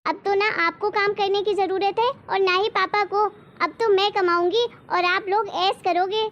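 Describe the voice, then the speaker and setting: Very high-pitched emphatic woman voicing a cartoon family girl. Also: fairly even intonation, normal speed, a bit bright, lo-fi sound. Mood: excited. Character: excited, devoted young daughter and her protective mother. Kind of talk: Hindi cartoon moral story dialogue.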